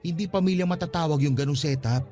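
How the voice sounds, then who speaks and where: A man's voice: on the high side, fast, dark tone, natural voice. An actor in a radio drama playing an earnest, defensive young father pleading with his ex for another chance. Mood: defensive, insistent.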